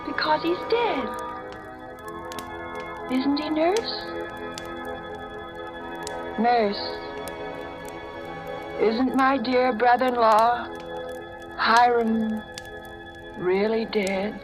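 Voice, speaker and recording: An unhinged, gloating woman in a 1940s radio horror play. Her high voice stretches words very slowly and theatrically. Music plays clearly behind her on the old recording. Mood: eerie pleading. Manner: theatrical, drawn-out delivery. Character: unhinged, exultant woman gloating over her dead brother-in-law.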